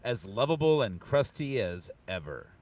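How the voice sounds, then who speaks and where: A man's voice: lowish voice, very animated, moderate pace, a little mellow, slight background noise. Man playing a haughty playboy prince, distant mic. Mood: teasing, grand. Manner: grand, flowery courtly phrasing. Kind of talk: dramatic comic book table read.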